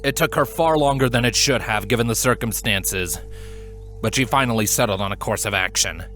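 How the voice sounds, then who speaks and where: A man narrating a scripted story in an expressive, dramatic manner. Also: fairly low pitch, brisk, soft background music. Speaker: fan fiction reader, narrator.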